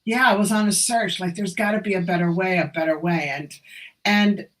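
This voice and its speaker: A woman's voice: on the low side, normal speed. An American woman in her sixties, a doctor being interviewed, speaking with emphatic enthusiasm. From USA. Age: 60s. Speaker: medical doctor guest. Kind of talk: conversational interview.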